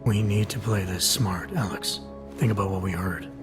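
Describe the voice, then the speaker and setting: An actor playing a guarded, skeptical, no-nonsense agent in a video game cutscene, speaking with restraint. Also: male voice, low voice, normal speed, music in the background, natural voice. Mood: guarded, determined.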